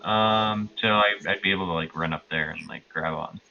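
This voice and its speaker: A man's voice: low voice, moderate pace, creaky, sharp tone, dull audio, slight background noise. A man playing a tabletop game, chatting casually and thinking aloud in a hesitant way. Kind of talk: casual chat.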